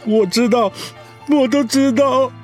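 An actor playing a remorseful husband who pleads softly and apologetically in an audio drama. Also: male voice, very high voice, fairly even intonation, unhurried, faint music behind. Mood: remorseful, afraid. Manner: soft, pleading.

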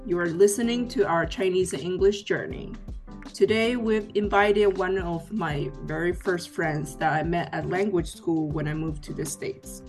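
A woman host opening a podcast episode with a friendly, measured welcome. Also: lowish voice, darkish tone, soft background music.

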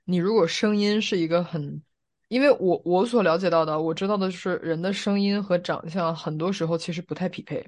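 A woman's voice: fairly low pitch, brisk, a little mellow. A woman podcast co-host chatting casually with friends, feeling out her phrasing and teasing along. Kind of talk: casual chat among friends.

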